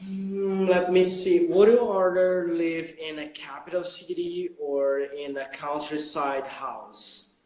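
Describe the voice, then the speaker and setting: Slow, halting man. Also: fairly high pitch, fairly even intonation, a little mellow, roomy sound, rough recording. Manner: slow, halting, searching for words. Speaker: English teacher. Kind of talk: casual conversation class on a livestream.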